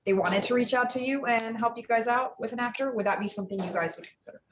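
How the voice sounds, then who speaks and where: Quick woman in a roomy space. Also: fairly high pitch. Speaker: audience member asking a question. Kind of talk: conversational question. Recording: roomy sound, faint background noise.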